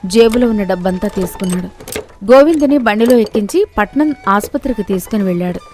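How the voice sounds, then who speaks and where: A woman's voice: medium pitch, expressive, a little fast, darkish tone, soft background music. A woman reading scripted narration for a moral story as its narrator.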